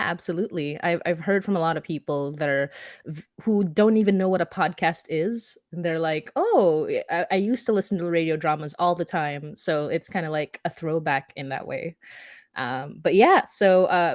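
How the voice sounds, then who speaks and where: A woman's voice: fairly low pitch, no echo, clean studio sound. A woman hosting a live podcast stream in a casual, conversational style. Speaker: host, podcaster.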